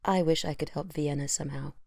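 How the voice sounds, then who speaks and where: A woman's voice: sits low, fairly even intonation, fast, creaky, a little mellow, dry recording, clean studio sound. A woman playing an earnest, troubled analyst in an audio drama, subdued and thoughtful in her delivery. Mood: worried.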